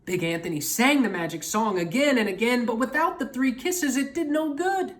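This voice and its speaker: A woman's voice: a little high, lively intonation, moderate pace. A woman storyteller reading a picture book aloud expressively, talking directly to young listeners.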